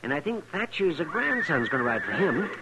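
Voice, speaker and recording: High, excitable frontier doctor. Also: male voice, a little fast, heavy background noise. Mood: jovial, gossipy. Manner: chuckly, fussy, animated. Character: chuckling, excitable frontier town doctor, wryly morbid. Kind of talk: 1950s western radio drama acting. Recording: old radio recording, crowd behind.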